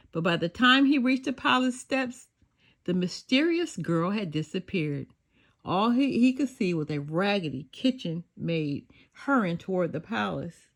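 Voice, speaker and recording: An older woman reading a children's storybook aloud, expressive and sing-song, with occasional small slips. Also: medium pitch. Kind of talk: children's read-aloud narration.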